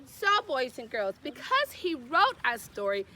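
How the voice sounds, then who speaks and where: A woman reading aloud to young children in an animated, teacherly way, asking them questions as she goes. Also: sits high, measured pace, very noisy. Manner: animated, teacherly, asks call-and-response questions. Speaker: children's storytime reader.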